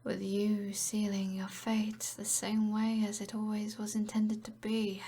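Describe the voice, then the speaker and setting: A woman's voice: mid-range voice, monotone, a little slow, mellow. A British woman playing an alluring, commanding evil sorceress in an ASMR roleplay, half-whispering in a teasing, possessive way. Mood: seductive, confiding. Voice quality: breathy, whispery.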